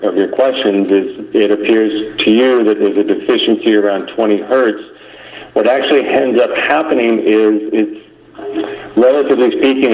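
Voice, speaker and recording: A man methodically explaining technical material on a conference call. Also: medium pitch. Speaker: engineering presenter. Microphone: conference call line. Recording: dull lo-fi conference phone call.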